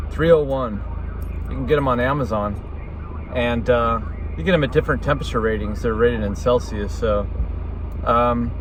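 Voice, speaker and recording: A man's voice: mid-range voice, slight background noise. An American man giving a casual, rambling explainer in an informal, conversational way, like a hobbyist presenting a video.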